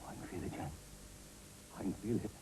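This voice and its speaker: A man's voice: a little fast, dark tone, natural voice. An actor playing a suave, earnest romantic man, intimate and melodramatic, in a comic TV advert. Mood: smooth, intense. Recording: distant, hissy old VHS tape.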